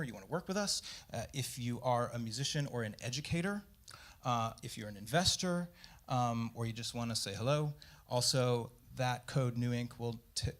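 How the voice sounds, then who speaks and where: Casual man closing a startup pitch. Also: a bit bright. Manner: casual, filler-heavy, upbeat. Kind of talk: informal pitch presentation.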